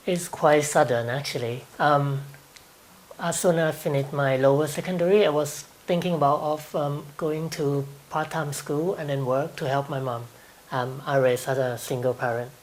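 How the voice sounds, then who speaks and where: A man's voice: medium pitch, slight background noise, poor audio. A man in his twenties from Thailand, speaking with a Thai accent and answering interview questions hesitantly, with frequent ums and light laughs.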